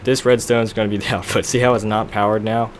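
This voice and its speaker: Young man, deep voice, rambling self-deprecating gameplay commentary, noisy home mic. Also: flat delivery, fast. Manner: rambling, self-deprecating, talks to himself while building. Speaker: let's play gamer.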